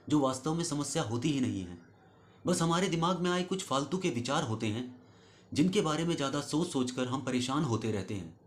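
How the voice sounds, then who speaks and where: A man telling a scripted moral story as a host, addressing listeners in an earnest, instructive way. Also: medium pitch.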